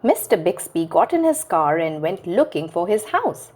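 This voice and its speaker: A woman reading a children's picture book aloud in an expressive, sing-song storytelling manner that speaks directly to young listeners. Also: on the low side, normal speed.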